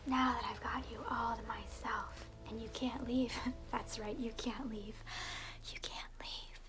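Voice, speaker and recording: A woman's voice: fairly high pitch, level tone, unhurried, noisy background, lightly acted. A woman whispering as a mocking evil keeper of hell. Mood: whispered taunting. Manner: soft whispering, teasing and menacing. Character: evil alter-ego keeper of hell's keys, mocking. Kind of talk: ASMR roleplay. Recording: music playing under the voice.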